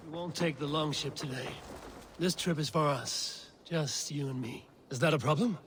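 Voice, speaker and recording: Guy playing steady viking warrior in a video game, bit high for a man, friendly n measured, faint noise behind. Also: natural voice. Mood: easy, inviting. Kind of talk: video game dramatic acting.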